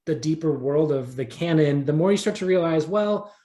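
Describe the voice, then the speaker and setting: Higher-voiced man in an echoey room. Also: expressive, moderate pace, mellow. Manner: conversational, thinking aloud, rephrasing as he goes. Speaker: interviewed writer. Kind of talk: casual podcast interview. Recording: roomy, echoey sound.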